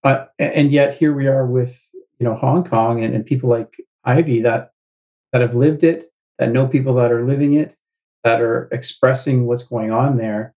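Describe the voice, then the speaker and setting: A man talking as an interview guest, measured and thoughtful, with frequent fillers. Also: mid-range voice, fairly even intonation, narrow, tinny audio. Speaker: guest author. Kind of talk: casual interview talk.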